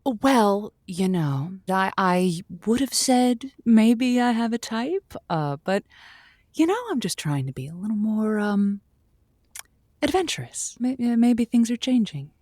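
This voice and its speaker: A woman playing an earnest advice host on a comedic audio call-in show, speaking with gentle, hesitant stammers. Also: mid-range voice, expressive, unhurried, dry recording, studio quality. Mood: tentative. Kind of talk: comedic audio drama radio host acting.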